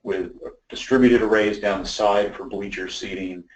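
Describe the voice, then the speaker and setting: Male webinar presenter, lower register, explains technical design informally and haltingly, roomy echoing pickup. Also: fairly even intonation. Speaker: audio system design presenter.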